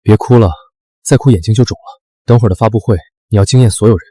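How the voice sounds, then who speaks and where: A commanding young protector in a short drama. His voice is husky and somewhat low, quick and sure of itself, with a firm edge. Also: warm tone, no echo, clean studio sound. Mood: protective. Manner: firm, assured. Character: protective, commanding young male rescuer with cold authority.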